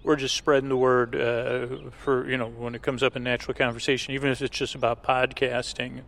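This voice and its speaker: A man hosting a podcast, casually thanking listeners in a rambling, halting way. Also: medium pitch, little pitch movement, creaky, a bit bright. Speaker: podcast host.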